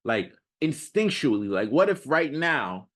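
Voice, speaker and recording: A man's voice: mid-range voice, very animated, a little slow, fairly bright tone. A man giving an unscripted motivational monologue as a self-improvement coach, talking in an emphatic, repetitive, slangy and casual way.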